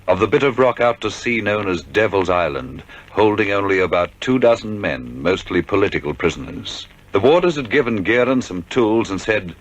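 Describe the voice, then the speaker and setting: A man's voice: on the low side, lively intonation, normal speed, few pauses, rough recording. A man giving scripted, dramatic narration of a crime story for a radio play.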